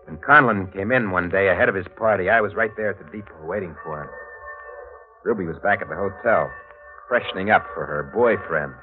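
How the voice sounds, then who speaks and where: A muffled old radio broadcast with faint music behind it. An actor plays a hardboiled, scheming man with a low voice, delivering terse, slangy noir lines in 1940s style. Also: brisk, natural voice. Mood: brooding. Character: hardboiled, scheming male drifter narrating his crime.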